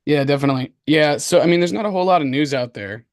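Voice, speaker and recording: A man with a hoarse voice co-hosts a casual market commentary show, talking in an excitable, slangy, repetitive way. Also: on the high side, fast, no room sound, clean studio sound. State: hoarse from coughing. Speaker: crypto show co-host.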